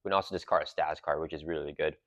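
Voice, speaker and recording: A man's voice: extremely low pitch, racing, dry recording, highs cut off. A man hosting his channel, chatting casually through an unboxing of a card game with lots of fillers, his throat sore and a cough drop in his mouth. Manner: relaxed, rambling, many fillers. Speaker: channel host.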